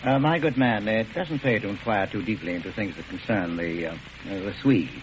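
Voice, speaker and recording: An actor playing a genteel, professorial doctor turned criminal, polite and wordy, in a 1940s radio drama. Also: male voice, lowish voice, fairly quick, close to an everyday voice. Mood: hesitant, curious. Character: genteel, erudite male doctor posing as cool criminal. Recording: dull, noisy old radio recording.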